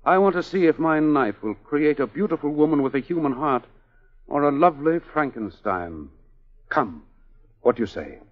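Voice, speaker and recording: Casting: suave, obsessed male surgeon, commanding, very animated intonation, vintage radio melodrama, muffled sound. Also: a little high. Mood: intense, grave. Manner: deliberate, theatrical, commanding. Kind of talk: 1940s radio melodrama acting. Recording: muffled old 1940s radio recording.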